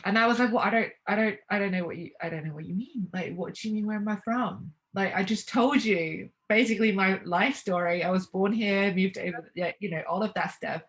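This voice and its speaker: A woman's voice: mid-range voice, brisk, few pauses. A young woman talking casually as an interview guest, reflective, with hesitant restarts and light laughs.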